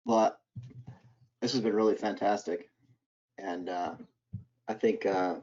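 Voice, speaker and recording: A man's voice: sits low, steady tone, slow, darkish tone. A man hosting a casual live interview, tentatively rephrasing his questions as he goes. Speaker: host, interviewer. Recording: muffled lo-fi online call.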